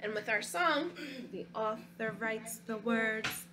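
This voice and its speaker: A woman teacher reading a storybook aloud to young children, gently asking them questions between pages. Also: on the high side, slow, crowd noise. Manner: gentle, asks children questions, sings a short song.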